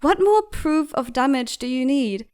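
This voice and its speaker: Woman as high-voiced, insistent bird doctor. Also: animated, measured pace, darkish tone, smooth. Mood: exasperated. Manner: articulate, insistent, reproachful. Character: outspoken, protective female bird academy doctor. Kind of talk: dramatic comic fandub acting.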